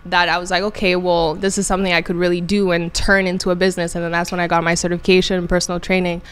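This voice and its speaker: A woman in her twenties from Ghana, a guest chatting in an interview with many pauses and restarts. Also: fairly low pitch, flat delivery, fairly bright tone, smooth. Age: 20s. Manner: hesitant, many ums and restarts. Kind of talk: casual interview chat.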